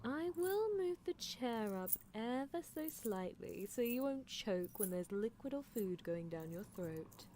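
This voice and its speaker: A woman's voice: on the high side, lively intonation, measured pace, hardly any pauses, warm tone, a little hiss or hum. A woman playing a playful, possessive young female mad scientist in an improvised ASMR roleplay, teasing her captive with clinical, mock-polite menace. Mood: coolly teasing.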